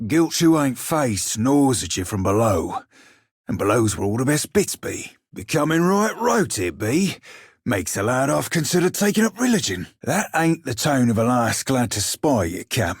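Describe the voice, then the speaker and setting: An actor playing a small, roguish, salty pirate shiphunter in a video game, with a husky, rough voice and wry nautical slang. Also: male voice, medium pitch, sing-song, normal speed, no room sound, clean studio sound. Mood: wry, teasing. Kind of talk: video game character voice lines.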